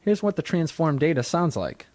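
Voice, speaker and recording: A man reading scripted instructional narration as a software tutor, in a measured, explanatory manner. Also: fairly high pitch, big pitch swings. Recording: dry studio narration from a 1990s tutorial cassette.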